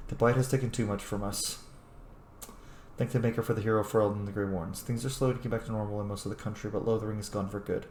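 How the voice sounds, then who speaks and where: A man's voice: on the low side, steady tone, very fast, a little mellow. Informal male game streamer in an echoey room. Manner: sweary, informal. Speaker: game streamer roleplaying as his character. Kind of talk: casual let's play commentary, reading in-game letters aloud. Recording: echoey room with faint background noise.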